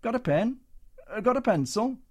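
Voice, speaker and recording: A man's voice: extremely high pitch, expressive, normal speed, slightly rough, pitched above the performer's own voice, heavily acted. A man voicing a blustering comedian who leads a troupe, hectoring and then wheedling. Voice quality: loud, hectoring.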